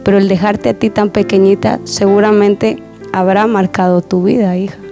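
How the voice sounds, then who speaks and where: Mellow-voiced woman hosting a church program. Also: mid-range voice, little pitch movement, normal speed, faint music behind. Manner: warm pastoral, repeats words, many affirming murmurs.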